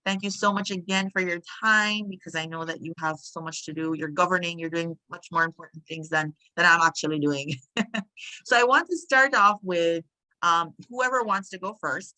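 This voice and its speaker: A woman moderating an online panel by video call, introducing guests in a lively, chatty way with joking asides. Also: lowish voice, normal speed, no room sound, low-quality recording.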